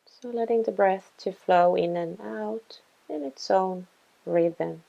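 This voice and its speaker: Very slow, mellow woman guiding meditation. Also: mid-range voice, vocal fry, muffled recording. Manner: gentle, soothing, unhurried instruction with pauses. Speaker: meditation guide. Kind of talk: guided body scan meditation.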